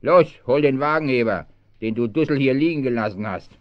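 An actor playing a bossy, scornful crook in a children's radio play. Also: male voice, mid-range voice, fairly quick, no echo, lightly acted. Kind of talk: 1970s German children's radio play acting. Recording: dry, slightly dull 1970s studio recording.

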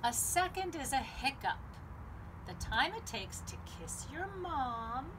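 High, animated woman reading to children. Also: noisy background. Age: middle-aged. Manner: lively, sing-song, with drawn-out deliberate phrasing. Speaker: library storytime host. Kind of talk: picture-book read-aloud for children. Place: outdoors on a home patio.

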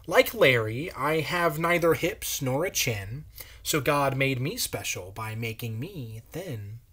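A man's voice: medium pitch, animated, slow pace, a bit bright, husky, exaggerated voice. A man voicing a bookish, thin male vegetable in a prim, proper manner for a children's storybook.

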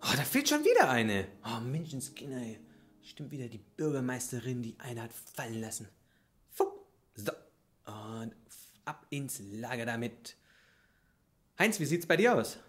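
A man's voice: medium pitch, sing-song, measured pace, long pauses. A man in an improvised audio play playing a contented, simple-hearted warehouse worker, earnest and easily baffled. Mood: grumbling, busy. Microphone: microphone on stand.

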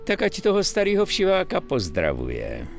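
A man's voice: sits high, huge pitch swings, vocal fry, faint music behind, full character voice. A man voicing a chatty, folksy older gun dealer with a rambling, familiar manner in a Czech game dub. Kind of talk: Czech video game dubbing.

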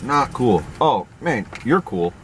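A man in his thirties chatting casually and deadpan from inside a car, like an innocent victim. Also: medium pitch, slow, noisy background. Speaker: prankster in a drive-thru prank video. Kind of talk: casual prank chat with drive-thru staff. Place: inside a car at a drive-thru window. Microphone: camera inside the car.

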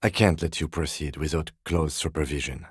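An actor playing a composed, dry-witted middle-aged police detective in a video game, speaking in a measured, formal, deadpan way. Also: male voice, very deep voice, husky, no echo. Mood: stern, formal. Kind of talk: video game character dialogue.

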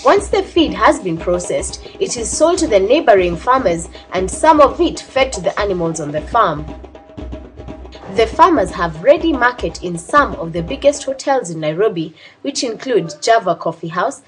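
A woman reading scripted narration for a documentary. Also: medium pitch. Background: music.

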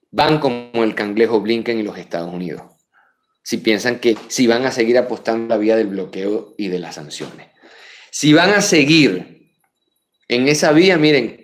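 A man in his thirties with a Venezuelan Spanish accent, hosting a live political commentary show from a room lined with bookshelves, speaking emphatically and dropping to quiet asides. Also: mid-range voice. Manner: emphatic, rises sharply on key names, drops to quiet asides. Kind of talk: live political commentary reading viewer messages. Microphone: webcam at desk.

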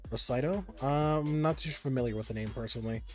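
A man's voice: mid-range voice, fast talker, darkish tone, faint music behind. A young man streaming a video game, chatting casually with viewers, rambling and stopping and restarting, with the odd curse. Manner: rambling, stop-start, swears under his breath. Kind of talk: casual live-stream chat while gaming.

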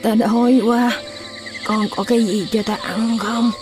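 A woman plays a hungry old crone in a children's cartoon, coaxing and plaintive, with a scheming streak. She sits back from the mic, and music plays clearly behind her. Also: fairly high pitch, little pitch movement, measured pace, natural voice. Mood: pleading. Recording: distant voice under clear music.

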